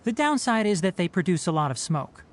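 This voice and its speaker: A woman voicing a patient, knowledgeable young male forest ranger in a video game, who explains things calmly and teases a little. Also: on the low side, fairly quick, a little hiss or hum. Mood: instructive. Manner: calm, explanatory teacher, mildly teasing.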